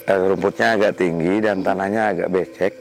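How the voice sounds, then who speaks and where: Local male guide, deep voice, measured polite explanations, outdoors, soft music bed. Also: steady tone, creaky. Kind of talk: explaining local lore on a walk. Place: outdoors at night.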